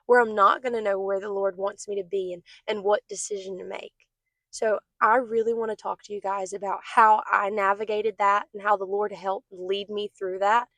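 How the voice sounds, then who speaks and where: A hoarse young woman giving an earnest, encouraging devotional talk straight to her viewers as host of her own channel. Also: medium pitch, fairly bright tone, no room sound. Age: young adult. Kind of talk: casual devotional talk to camera.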